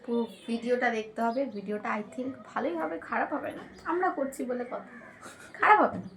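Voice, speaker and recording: Fast-talking woman, casual vlog chat. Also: on the high side, lively intonation, gravelly, a bit bright. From Bengal. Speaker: vlogger in an eating challenge.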